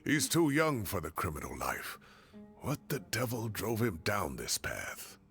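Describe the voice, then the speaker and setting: An actor playing a fussy, indignant retired professor in a video game, with a theatrical, huffy manner. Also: male voice, lowish voice, very animated, a little slow, a bit bright, soft background music, exaggerated voice. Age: older. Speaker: game character.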